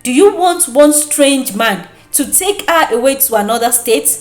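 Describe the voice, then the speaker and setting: Woman acting pushy, scheming young friend, animated. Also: sits high, unhurried, roomy sound, soft background music. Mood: goading. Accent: Nigerian. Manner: gossipy, goading, theatrical.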